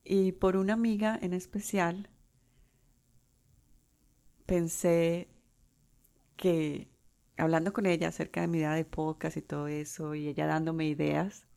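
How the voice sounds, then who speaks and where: A Colombian woman in her forties hosting her own podcast, talking unscripted with pauses and restarts. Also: low voice, fairly even intonation, vocal fry, dark tone. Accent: Colombian Spanish. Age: 40s. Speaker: podcast host. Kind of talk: solo podcast monologue.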